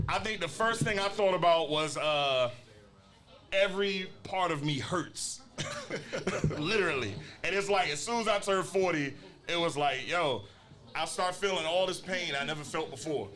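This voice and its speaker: A man in his forties hosts a casual live podcast in front of an audience. His voice is bright and a bit high for a man. He jokes and keeps things upbeat. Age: 40s. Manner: upbeat, joking, thanks everyone. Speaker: podcast host. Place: brewery taproom with audience.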